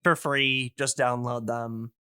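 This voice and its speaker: A man's voice: mid-range voice, level tone, takes it slowly, pushed and bright, clear, resonant tone, no room sound, studio quality. A man in a casual podcast chat, explaining things enthusiastically and in a discursive, meandering way.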